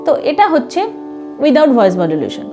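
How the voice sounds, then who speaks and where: A woman's voice: sits high, sing-song, normal speed, over music. A woman giving an instructional singing lesson to viewers, explaining points in a teacher's manner. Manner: explanatory, with short hesitations and sung demonstrations. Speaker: vocal music teacher.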